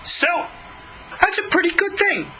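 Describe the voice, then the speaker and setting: Male religious teacher, mature, high pitch, very sharp bright tone; emphatic, skeptical text commentary over clear background noise. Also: wildly swooping pitch, a little slow, poor audio. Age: middle-aged or older. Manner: emphatic, skeptical, argumentative, with rhetorical questions. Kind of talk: religious lesson, reading a text aloud with running commentary.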